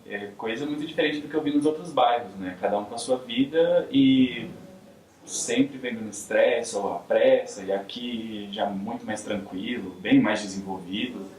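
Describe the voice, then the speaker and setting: A man's voice: mid-range voice, normal speed, a little mellow. A man giving casual impressions in a documentary interview. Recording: roomy, distant mic, faint noise.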